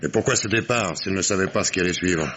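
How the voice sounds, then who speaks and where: An actor playing a shrewd, sceptical police inspector, wry, insistent and patronising, in old French radio mystery style. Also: male voice, low-pitched, fast talker, fairly bright tone. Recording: muffled, hissy old radio drama recording.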